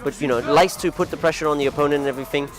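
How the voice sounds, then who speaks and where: Guy doing casual fighting game commentary, bit higher voice, rambly and self-mocking, loud music in the back. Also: very fast. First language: not English. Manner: chatty, rambling, laughs at himself. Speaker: tournament commentator. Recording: music playing loudly behind.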